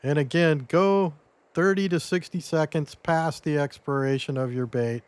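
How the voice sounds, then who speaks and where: A man losing his voice a little, giving a relaxed step-by-step gameplay tutorial as commentary. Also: a little high, normal speed, smooth, no echo. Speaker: game guide creator.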